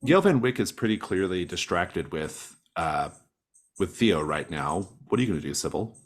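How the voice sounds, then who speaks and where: Low-voiced man as game master. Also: big pitch swings, moderate pace. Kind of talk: running a tabletop game, casual.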